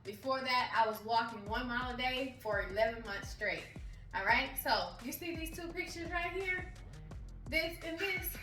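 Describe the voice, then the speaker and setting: Forties woman pumping viewers up about working out, kinda high voice, echoey room, sounds far from the mic. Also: normal speed, bright, forceful tone. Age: 40s. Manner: encouraging, keeps checking in with "okay?". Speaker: fitness vlogger. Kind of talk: motivational fitness vlog. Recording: echoey room, voice from a distance, music behind.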